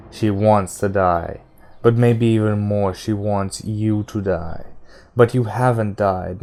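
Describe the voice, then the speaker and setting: A stern gunslinger voiced by a male audiobook reader, quietly menacing and controlled. The voice is low and gravelly, with a soft, even delivery. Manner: even, silky, soft, with sudden growls.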